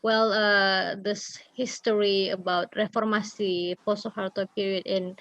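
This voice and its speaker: A woman's voice: mid-range voice, vocal fry, a bit bright, no room sound, dull audio. A woman from Indonesia answering unscripted in a discussion, hesitant as she gathers her thoughts.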